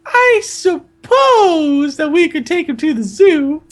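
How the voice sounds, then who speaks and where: A woman voicing a fussy, doting middle-aged aunt in a slow, exaggerated comic reading of a storybook. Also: extremely high pitch, very animated, voice pushed up, full character voice. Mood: drawling, reluctant.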